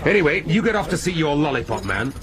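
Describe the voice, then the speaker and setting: An actor playing a wry, weary police inspector in a radio drama, dry and sardonic in manner. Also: male voice, fairly high pitch, very animated, fast, crowd noise.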